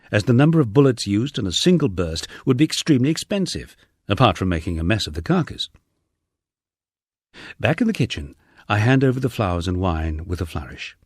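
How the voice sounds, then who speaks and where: Guy reading an audiobook memoir, lowish voice, super animated up and down, kinda wry, dry sound. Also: breathy edge, no room sound. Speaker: audiobook narrator. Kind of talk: scripted memoir narration.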